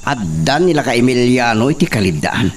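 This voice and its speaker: A man's voice: medium pitch, sing-song, a little fast, slightly rough. A man with an Ilocano accent playing a ruthless, scheming, hot-tempered young landowner's son in an audio drama, menacing and domineering. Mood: angry, demanding. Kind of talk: radio-style Ilocano drama acting. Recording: distant mic, noisy, chirping crickets behind.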